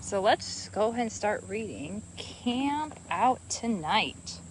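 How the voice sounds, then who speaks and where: Woman, medium pitch, teacherly host, casual chat, encouraging questions for young viewers. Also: big pitch swings, slow pace, slight background noise, poor audio. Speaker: host of a children's read-along. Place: outdoors on a marshy trail.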